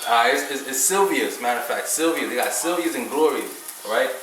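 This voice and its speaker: A man's voice: medium pitch, lively intonation, normal speed, a bit bright, slight background noise. A man talking loosely and at length as host, preaching in a casual way with frequent repeats and swearing. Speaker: vegan podcast host. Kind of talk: casual rambling talk. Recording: roomy echo, mic at a distance.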